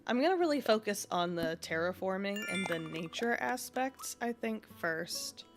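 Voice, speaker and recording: A woman's voice: medium pitch, animated, moderate pace. Sniffly woman chatting over music. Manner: chatty, thinks aloud while playing. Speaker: streamer. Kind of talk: casual gaming chat.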